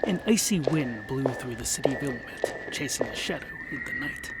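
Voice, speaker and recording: A man's voice: mid-range voice, animated, normal speed, heavy background noise. A man narrating a scripted comic fantasy tale in a theatrical, mock-epic manner with wry asides. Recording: voice over music. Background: music.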